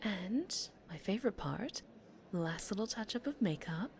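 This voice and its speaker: A woman's voice: medium pitch, animated, moderate pace, slight background noise, lightly acted. A woman voicing a courteous, reassuring female television talk show host in an ASMR roleplay. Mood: friendly coaching.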